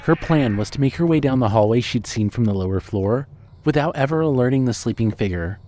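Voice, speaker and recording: Measured male horror story narrator. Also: medium pitch, expressive, dark tone. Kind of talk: scripted horror audio drama narration.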